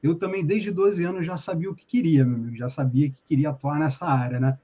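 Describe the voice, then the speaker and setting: A husky, fairly high male voice with a Carioca accent chats as a guest on a podcast. He is friendly and easygoing and uses a lot of slang. The sound is dull and lo-fi, like a remote call. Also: steady tone, brisk, warm tone. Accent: Carioca Portuguese. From Rio de Janeiro state, Brazil. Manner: friendly, chatty, full of slang. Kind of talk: casual podcast chat.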